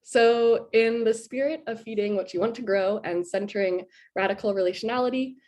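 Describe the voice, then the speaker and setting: A young woman with a head cold, sounding a little sniffly, giving a prepared presentation on her service term in an earnest, measured way with occasional ums. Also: on the high side, fairly even intonation, darkish tone.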